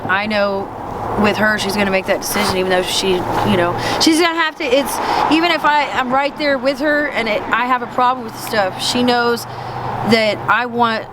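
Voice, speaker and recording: A woman in her forties from Indiana telling her personal story in an interview, halting as she searches for words. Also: on the high side, steady tone. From Indiana, then Texas. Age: 40s. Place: outdoor camp in the woods. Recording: loud outdoor traffic noise.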